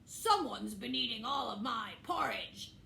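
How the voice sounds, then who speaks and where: A woman's voice: fairly high pitch, lively intonation, a little slow, gravelly, pushed and bright, echoey room, slight background noise, full character voice. A woman voicing a big father bear in a storybook telling. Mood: puzzled.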